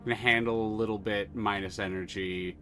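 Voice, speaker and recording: A man playing a video game on stream, thinking his moves through aloud and swearing when excited. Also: fairly low pitch, a little fast.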